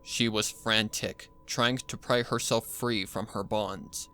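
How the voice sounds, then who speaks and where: Low-voiced man narrating a horror story. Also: steady tone, slow pace, pushed and bright, clean tone, faint music behind. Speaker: fan fiction narrator. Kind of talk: dramatic scripted horror narration.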